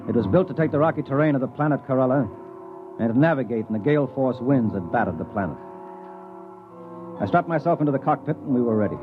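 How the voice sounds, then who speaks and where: An actor in a 1950s radio drama playing a brusque, arrogant space explorer, hard-boiled and edgy. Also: male voice, mid-range voice, natural voice. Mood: grave narration. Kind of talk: 1950s radio drama acting with first-person narration. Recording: narrow, tinny, noisy 1950s radio recording.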